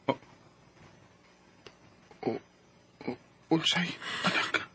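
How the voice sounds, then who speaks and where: A man's voice: very slow, bright, forceful tone. An actor with a Filipino Cebuano accent playing an ambitious, remorseful young man in a Bisaya radio drama. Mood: shocked, remorseful. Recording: radio drama with music underneath.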